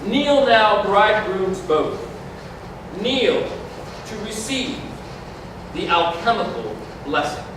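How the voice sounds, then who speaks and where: A man acts as an exalted officiant at a mystical stage wedding. His high voice moves slowly through solemn, incantatory verse. It comes from far off in a very echoey hall. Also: lively intonation, background noise. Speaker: actor in a staged reading. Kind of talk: ceremonial theatrical verse recital. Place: large community hall, staged reading.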